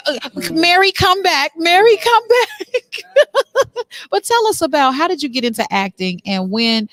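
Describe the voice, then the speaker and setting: A woman hosting an on-location interview show, chatting in an animated, playful, casual way. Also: high-pitched, a little slow, rough recording. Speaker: talk show host. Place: busy venue backstage after a stage play. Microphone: microphone with headphone monitoring.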